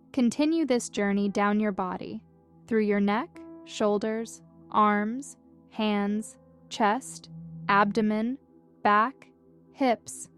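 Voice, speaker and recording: A woman leads a guided meditation with a mid-range voice. Her delivery is gentle, calm and measured, like scripted instructions meant to soothe. Music plays behind her. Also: mid-range voice.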